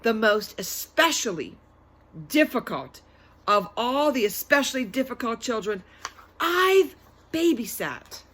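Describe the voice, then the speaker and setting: A woman's voice: a little high, sing-song, a bit bright, exaggerated voice. Lady reading as a strict cold babysitter from a storybook, sarcastic, leans hard on every word. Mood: exasperated. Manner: deliberate, sarcastic, emphatic. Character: stern, cold woman babysitter and secret spy. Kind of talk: children's book read-aloud.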